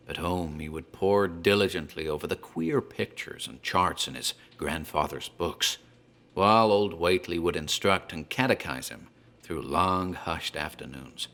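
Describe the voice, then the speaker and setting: A man narrating a gothic horror tale in a measured, formal, dramatic literary style. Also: low voice, fairly bright tone.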